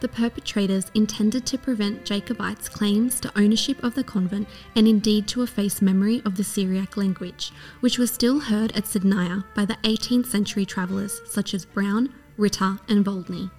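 A woman reading a scripted documentary narration in a measured, even way. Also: mid-range voice, faint music behind.

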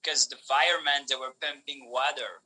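A man whose first language is Polish, talking as a podcast guest in an animated way, with many restarts and fillers. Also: medium pitch, normal speed, rough recording. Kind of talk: casual conversation.

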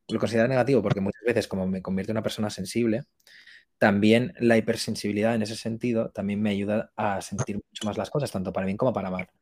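Hombre joven de voz algo grave, coloquial. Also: male voice, fairly low pitch, a little mellow. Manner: halting, thinking aloud, colloquial. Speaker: interview guest, musician. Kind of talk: casual chat.

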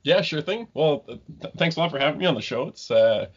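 A man in his thirties from Ontario, a guest scientist talking casually in an interview, halting with many ums and restarts. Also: on the high side, lively intonation, slightly rough. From Ontario, Canada. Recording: dull lo-fi remote call audio.

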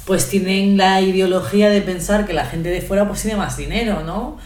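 A young woman with a Spanish accent from Spain, talking in a relaxed, thoughtful way as a podcast guest, with many pauses and fillers. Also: mid-range voice, echoey room, a little hiss or hum. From Ecuador, raised in Spain. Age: young adult. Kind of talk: casual podcast conversation.

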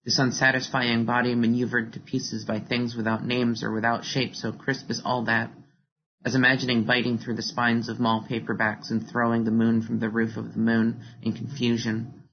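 A poet gives a literary reading in a flat, even intonation. The recording is a little dull, with faint noise behind the voice. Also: medium pitch, moderate pace. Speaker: poet reading own work.

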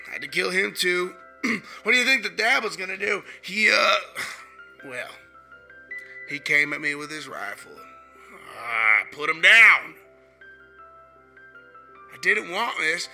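A hoarse man voicing a rough Southern outlaw cowboy, drawling through a rambling diary confession. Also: fairly high pitch, sharp tone, heavily acted. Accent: put-on American Southern.

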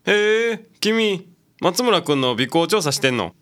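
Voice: mid-range voice, very animated, slow, fairly bright tone. An actor in a radio drama playing an easygoing, nosy local passer-by. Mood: surprised, curious.

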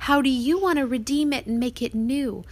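A woman narrating an audiobook she wrote, pausing to prompt and question as she teaches a child. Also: high-pitched. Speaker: author reading own book aloud and parent.

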